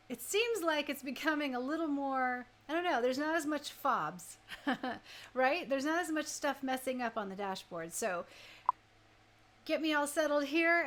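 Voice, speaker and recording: A woman DJ with a high-pitched voice hosts a livestream music show. She talks in a chatty, informal way and is effusive with the people listening. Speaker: online radio DJ and host.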